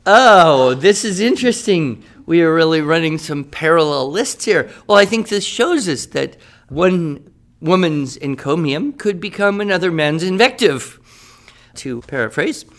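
A male writing teacher runs a classroom brainstorm in a scripted radio drama. He is upbeat, encouraging and wordy. His voice sits a little high. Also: animated, unhurried, fairly bright tone.

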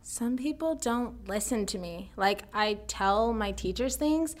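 A woman playing an eccentric, self-assured schoolgirl in a writing class for a radio drama, earnest and deliberate. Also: a little high, lively intonation. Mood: aggrieved.

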